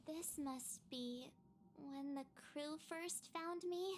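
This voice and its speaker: A young game heroine with a high, girlish voice. She speaks expressively at a relaxed pace, earnest and puzzled, with faint noise underneath. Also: slow. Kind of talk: scripted game voice acting.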